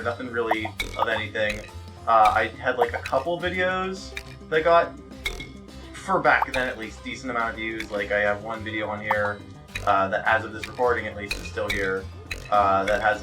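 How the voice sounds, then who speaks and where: A man rambles casually as he plays a game, with music clearly behind him. His talk is halting, circling and peppered with swearing, in the loose style of a let's play host. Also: fairly low pitch, far from the mic. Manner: foul-mouthed, rambling, halting. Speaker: gaming video host.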